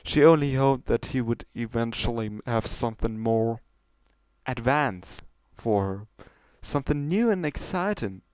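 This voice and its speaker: A man's voice: mid-range voice, animated, a little slow, darkish tone, smooth, dry recording. A man reading fan fiction as an amateur narrator, moving between casual talk and storytelling.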